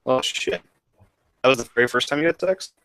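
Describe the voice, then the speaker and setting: A young male podcast host with a bright, forceful tone, recorded close and dry with no room sound. He asks his questions in an easygoing, offhand manner. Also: mid-range voice, brisk, clean tone, no echo. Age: 20s. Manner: relaxed, laid-back questioning. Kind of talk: casual podcast chat.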